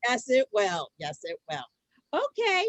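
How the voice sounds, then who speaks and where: A woman's voice: fairly high pitch, wildly swooping pitch, a little slow, no echo. A woman hosting an amateur comic readers' theater, moving between scripted lines and ad-libs. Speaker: host of a comic contest.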